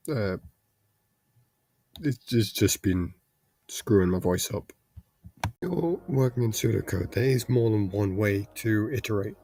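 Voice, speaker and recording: A man with a cold, his voice hoarse and congested, explaining programming in a rambling casual tutorial. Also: lowish voice, dark tone, no room sound. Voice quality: gravelly, congested. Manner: rambling, restarts with fillers.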